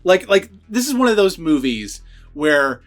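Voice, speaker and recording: A man with a slightly high voice talks through a film review in a casual, conversational way. He swears freely and repeats words for emphasis. Music plays behind him. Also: very animated, measured pace. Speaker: movie reviewer.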